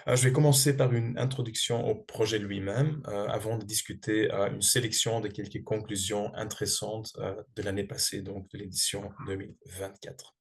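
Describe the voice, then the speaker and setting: A man's voice: fairly low pitch, level tone, normal speed, creaky, few pauses. A man, a French speaker giving a research presentation, hesitant with many fillers and restarts. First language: not French. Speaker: guest speaker, research coordinator. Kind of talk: presentation talk.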